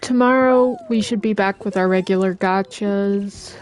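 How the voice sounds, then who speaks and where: A woman game streamer chatting casually to viewers, halting as she thinks aloud. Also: medium pitch, noisy background. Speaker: game livestreamer. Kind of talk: casual livestream chat. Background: faint game music.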